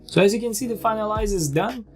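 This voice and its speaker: A man giving an informal, step-by-step explanatory narration as a software demonstrator. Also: high-pitched, quick pace, faint music behind.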